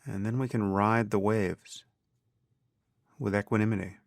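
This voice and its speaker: A man guiding a meditation as a teacher, speaking calmly and deliberately in measured phrases with pauses. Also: on the low side, vocal fry, dry recording, studio quality. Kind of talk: guided meditation narration.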